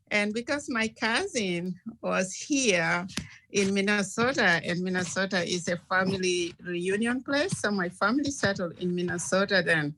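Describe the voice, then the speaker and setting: A woman from South Sudan, telling her life story as a panelist over a video call in a measured, step-by-step way. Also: medium pitch, sharp tone, slight background noise, poor audio.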